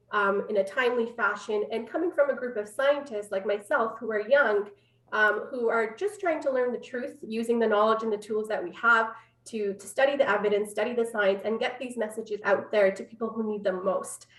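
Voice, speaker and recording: A woman in her thirties with a Canadian accent, explaining her work conversationally as an interview guest over a video call from home. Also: on the high side. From Canada, born in Afghanistan. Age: 30s. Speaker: interview guest, public health scientist. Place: room at home. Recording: roomy, distant video-call microphone.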